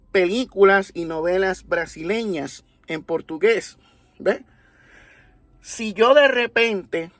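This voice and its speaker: A man from Puerto Rico with a Puerto Rican accent, explaining a religious topic in a deliberate, teacherly way, often checking in with his listeners. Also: sits high, fairly bright tone, dry recording. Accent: Puerto Rican Spanish. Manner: deliberate, teacherly, with rhetorical tags. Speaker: religious commentator. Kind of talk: explanatory monologue.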